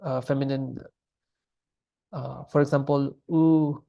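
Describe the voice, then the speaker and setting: Gravelly-voiced man teaching a language class. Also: mid-range voice, takes it slowly, dull audio. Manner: patient, relaxed, with many fillers and restarts. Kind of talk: explaining grammar in a class.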